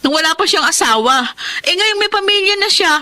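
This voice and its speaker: A woman's voice: extremely high pitch, expressive, brisk, pushed and bright, heavily acted. An actress playing a fed-up, outspoken young wife and mother in a Tagalog radio drama, emphatic and argumentative, with a Filipino accent. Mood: indignant, scolding.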